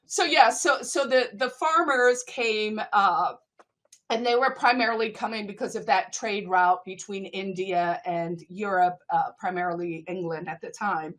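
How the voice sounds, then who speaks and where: A middle-aged woman from South Africa, a native Afrikaans speaker with a South African accent, talking as an interview guest in a lively, animated way, laughing between points. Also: medium pitch, measured pace. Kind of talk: conversational interview storytelling.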